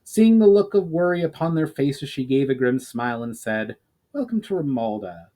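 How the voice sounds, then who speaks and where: A man's voice: on the high side, expressive, normal speed, warm tone. A man giving a dramatic narrated reading of his own fantasy story, occasionally stumbling and re-reading a phrase. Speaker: author reading own book, channel host. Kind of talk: dramatic audiobook-style narration.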